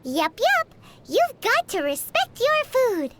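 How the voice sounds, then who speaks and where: A woman's voice: extremely high pitch, huge pitch swings, slow pace, fairly bright tone, exaggerated voice. A woman voicing a tiny, cheeky floating companion creature in a video game, bubbly and teasing, talking about herself in the third person. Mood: playful.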